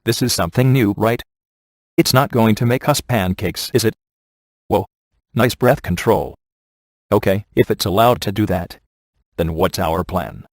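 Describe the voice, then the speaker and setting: A smug ghost hunter in a comic adventure game. He is a sarcastic wisecracker with a deadpan delivery, lowish in pitch and recorded close and dry. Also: measured pace, pauses often, no echo. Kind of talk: video game voice acting.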